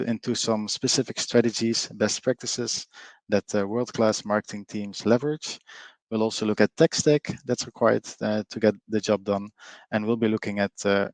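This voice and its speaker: A man's voice: on the low side, vocal fry, no room sound. A middle-aged man from Belgium with a Flemish Belgian accent, giving a live online presentation in a chatty way with frequent fillers, often drawing in his audience. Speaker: webinar presenter, company co-founder.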